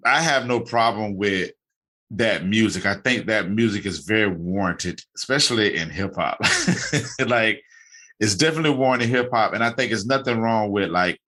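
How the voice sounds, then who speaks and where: Low bright-toned man rambling casually. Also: normal speed. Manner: rambling, many restarts and fillers. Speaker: podcast host. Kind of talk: casual interview chat.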